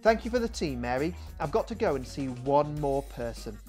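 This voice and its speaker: A man voicing a thoughtful, polite male detective in a children's audiobook, measured and earnest. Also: fairly high pitch, very animated, mellow. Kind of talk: children's audiobook character reading. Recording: music behind the voice.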